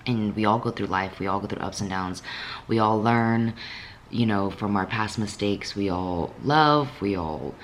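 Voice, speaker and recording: A young woman with a raspy voice, just woken up, talking candidly in a casual vlog from her apartment, with many fillers. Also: very deep voice, unhurried, slight background noise. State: just woke up. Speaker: fitness vlogger.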